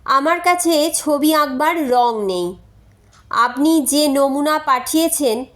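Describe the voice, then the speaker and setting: A woman's voice: sits high, fairly bright tone. A woman reading aloud as a candid, courteous young woman writing a frank letter in a story. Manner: frank, polite, deliberate.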